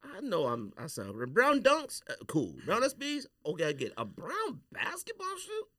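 An American man hosts a casual podcast in a bright, forceful voice. His pitch swings widely as he teases in loose slang, and the sound is dry and close. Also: moderate pace, no room sound. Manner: teasing, animated, slangy. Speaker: podcast host. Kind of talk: casual sneaker podcast banter.

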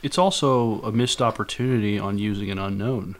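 A man on a podcast panel speaking casually, in a measured and somewhat hesitant way. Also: on the low side. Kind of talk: casual discussion.

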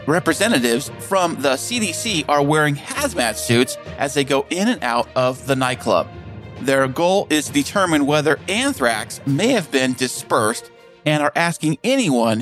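An actor playing a television news reporter with a crisp newsreader delivery. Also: male voice, a little high, a bit bright. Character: male TV news reporter, formal.

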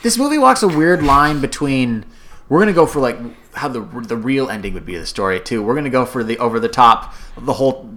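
A man's voice: mid-range voice, lively intonation, brisk, a bit bright. A man hosting a casual chat, rambling and restarting his sentences with frequent chuckles. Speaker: podcast host.

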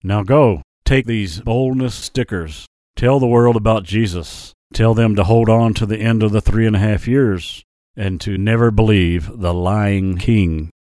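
A man voicing a gentle but serious lion messenger in a children's story, preaching earnestly. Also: on the low side, measured pace, dry recording, studio quality, natural voice. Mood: solemn warning.

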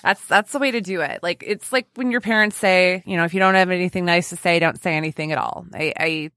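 A woman's voice: on the low side, quick pace, a bit bright, dry recording. A woman hosting a podcast interview in a casual, playful, gushing way with informal banter. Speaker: podcast host.

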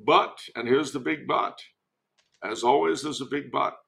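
An American man giving conversational commentary as a podcast guest, with a wry, emphatic manner and a creaky voice. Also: medium pitch, unhurried, bright, forceful tone. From United States. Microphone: remote internet call.

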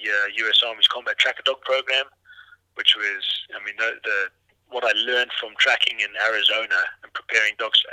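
A middle-aged man from Zimbabwe, telling his work history in a relaxed, rambling way with many fillers and restarts. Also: lowish voice, no room sound, rough recording. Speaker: dog trainer, guest. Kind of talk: casual interview storytelling.

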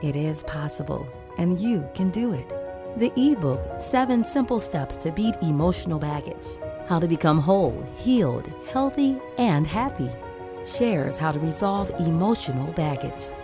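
A woman reading a scripted self-help promotion in a slow, soothing, persuasive way. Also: fairly low pitch, expressive. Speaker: ad announcer. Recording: music bed behind the voice.

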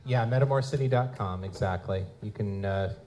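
A man's voice: fairly low pitch, moderate pace, mellow. A man speaking casually as host and producer, answering questions at a live event. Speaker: host, audio drama producer and writer. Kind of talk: casual Q&A talk with an audience. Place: convention room at a live event. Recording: live event room with background noise.

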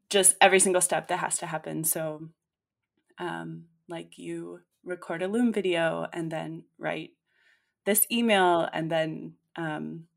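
Woman, late twenties, podcast co-host, lowish voice, chatty, full of fillers. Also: gravelly. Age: late 20s. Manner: chatty, many fillers and restarts. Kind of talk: casual chat.

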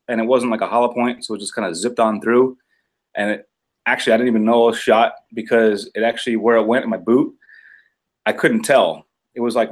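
A man in his ordinary middle pitch talking casually. He rambles through his story in a relaxed, unhurried way, full of "like" and "you know". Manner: laid-back, rambling storytelling with many fillers. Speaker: police officer guest. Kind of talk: casual chat.

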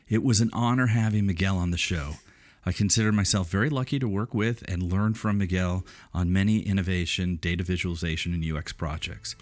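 A male podcast host with a low-pitched voice. He reads a scripted introduction to the episode at a measured, even pace. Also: no echo.

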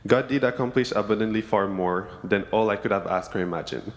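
Young man, from Senegal, lowish voice, earnest testimony, church sanctuary with long reverb. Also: a little fast. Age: young adult. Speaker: student speaker in church service. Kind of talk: prepared testimony.

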